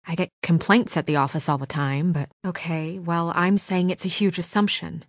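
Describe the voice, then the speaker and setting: A composed, earnest young woman in a story-driven video game. Her voice sits slightly low and is captured very dry. She speaks with guarded, dutiful care, halting a little as worry shows through. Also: steady tone, dry recording. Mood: hesitant, conceding. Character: composed, earnest young female office administrator, anxious and dutiful. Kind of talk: naturalistic video game drama acting.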